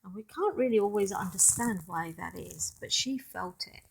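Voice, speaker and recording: A woman reading a picture book aloud expressively, pausing to speak directly to child listeners. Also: medium pitch, vocal fry, husky.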